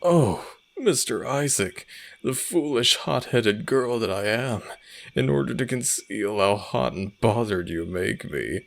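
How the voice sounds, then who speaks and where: A man playing a smug, flirtatious male werewolf alpha with a low gravelly voice, in a slow, teasing, theatrical romantic audio roleplay. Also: expressive. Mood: mock swooning.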